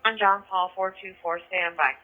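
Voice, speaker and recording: A man's voice: high voice, steady tone, normal speed. A man working as a police dispatcher, speaking in clipped procedural phrases and repeating details over a two-way radio. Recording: tinny narrowband police scanner radio.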